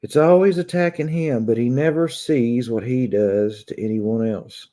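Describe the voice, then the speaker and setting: A man in his thirties with a long Southern drawl from Kentucky talks casually to his online viewers. His voice is very dark and mellow, and he sounds conversational, like someone venting from a room at home. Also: mid-range voice, measured pace. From Kentucky, United States. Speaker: YouTube vlogger. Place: small room at home, indoors.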